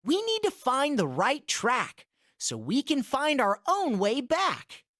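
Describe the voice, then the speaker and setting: A woman's voice: high-pitched, huge pitch swings, slow, a bit bright, no room sound, clean studio sound. A woman giving scripted rhyming storybook narration in a sing-song, bouncy way.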